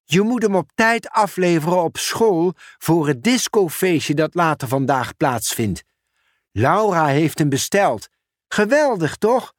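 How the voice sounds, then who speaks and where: A man reading a storybook aloud, voicing an excitable male sorting office manager who talks in a raised, exclaiming voice. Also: slow pace, no room sound, studio quality. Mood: excited. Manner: excited, high-pitched, exclaiming.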